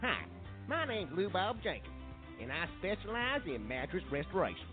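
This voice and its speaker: A high, hugely animated redneck salesman with a drawl performed by a man, folksy and shameless. It is a parody radio ad, tinny, with music playing underneath. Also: normal speed. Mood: folksy, proud. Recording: tinny lo-fi stream over music.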